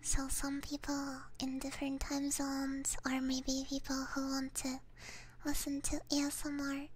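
Woman, high voice, soft breathy whisper, slow pace, ASMR livestream chat, close binaural mic. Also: monotone, slight background noise, low-quality recording. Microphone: close binaural ASMR microphone.

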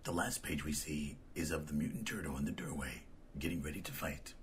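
A man's voice: a bass voice, fairly quick, slightly rough. A man reading scripted narration that describes comic panels.

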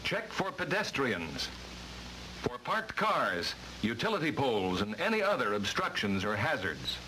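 Guy narrating an old instructional film, crisp formal delivery, bright forceful voice, a bit of worn soundtrack noise. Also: mid-range voice, big pitch swings, measured pace, rough recording. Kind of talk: scripted instructional narration, vintage training film.

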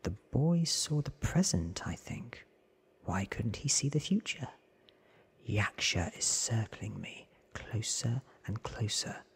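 A man reads fiction in a very breathy half-whisper. His pace is slow and deliberate, close on the microphone, in a soft ASMR storytelling style. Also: lowish voice, big pitch swings. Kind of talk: whispered ASMR reading of a novel. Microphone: close microphone with foam windscreen.

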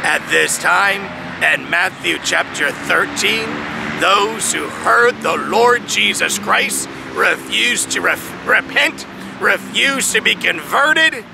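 Preacher, man in his thirties: high, gravelly voice, slow and emphatic, outdoors with audible traffic behind. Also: very animated. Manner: emphatic, preaching with swells and drops, reads verses aloud. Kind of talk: outdoor sermon reading scripture. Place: large open field outdoors. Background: distant traffic noise.

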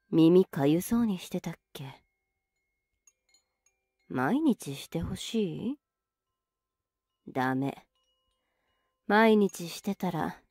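Tsundere older sister for anime ASMR, played by a woman: unhurried, mellow, scolding yet affectionate, intimate close mic. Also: fairly low pitch, dry recording. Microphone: very close, dry microphone.

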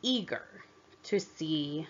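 A woman reading a children's story aloud in deliberate, expressive narration, on a slightly dull recording. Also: low voice, slight background noise. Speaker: storybook reader.

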